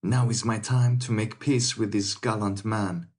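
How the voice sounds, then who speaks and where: A woman reading an audiobook, voicing a hot-tempered young Gascon swordsman who is proud and quick to take offence. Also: rumbling low, fairly even intonation, darkish tone, voice pushed down.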